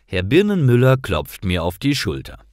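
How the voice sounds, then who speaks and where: A man reading simple scripted stories for language learners in a clear, deliberate storytelling manner. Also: medium pitch, huge pitch swings, a little mellow, no room sound, clean studio sound.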